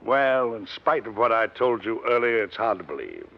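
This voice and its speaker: An actor playing a proper, regretful company owner with a gravelly voice and measured, formal manner in 1950s radio drama style. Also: male voice, lowish voice, sing-song, full character voice. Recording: muffled vintage radio recording.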